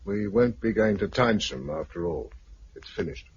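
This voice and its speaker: A hard-working British man who runs a survivor household, brisk and matter-of-fact. His voice is husky with a lively, swooping pitch, in the manner of 1950s radio drama, on a dull vintage recording. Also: exaggerated voice. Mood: grim, subdued. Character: Bill, hard-working practical husband leading a small survivor household.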